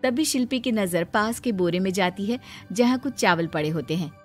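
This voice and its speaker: A woman's voice: medium pitch, expressive, normal speed, soft background music. A woman reading scripted narration as the storyteller of a moral tale.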